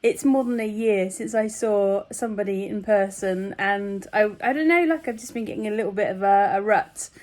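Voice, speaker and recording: A woman's voice: medium pitch, normal speed, hardly any pauses, darkish tone. A woman vlogger talking in a casual, rambling, chatty and giggly way, like a personal video diary. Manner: chatty, meandering, often giggly.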